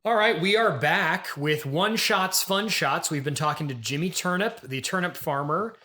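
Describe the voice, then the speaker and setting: A male host of an improvised comedy interview. His voice is clearly bright and a bit higher than average for a man. He phrases things tentatively, often hedging and apologizing as he steers the conversation. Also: normal speed, soft background music. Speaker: podcast host.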